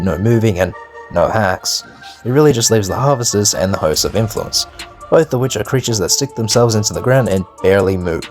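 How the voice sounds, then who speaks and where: Man, lowish pitch, scripted commentary, casual slang, opinionated, sweary, music underneath. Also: a little mellow. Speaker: video narrator and host. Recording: music behind the voice. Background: background music.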